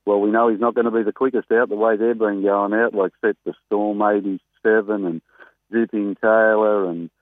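An Australian man, a greyhound trainer, chatting casually and excitedly as a guest on a phone interview. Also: on the low side, moderate pace, dry recording. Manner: chatty, rambling, excitable about racing. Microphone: telephone. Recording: tinny phone line.

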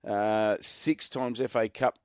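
A man with a croaky voice, giving measured football analysis on a radio segment. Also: on the low side, steady tone, no room sound, studio quality. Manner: measured, informative, self-correcting. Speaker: football pundit.

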